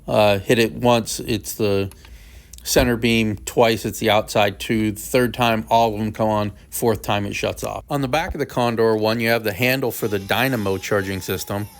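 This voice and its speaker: A man's voice: lowish voice, steady tone, moderate pace, creaky. A man narrating an unboxing and review, explaining features step by step in a plain, matter-of-fact way. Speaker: product reviewer.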